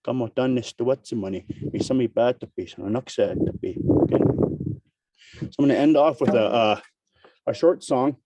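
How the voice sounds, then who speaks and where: A man with a raspy voice, hoarse from a cold, opening a conference with a spoken invocation and talking haltingly with many fillers. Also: mid-range voice, distant mic, very noisy. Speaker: opening speaker.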